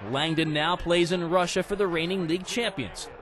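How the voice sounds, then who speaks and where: A man's voice: sits high, steady tone, moderate pace, noisy background. A man, a TV sports reporter, reading a scripted news feature in a punchy, polished style.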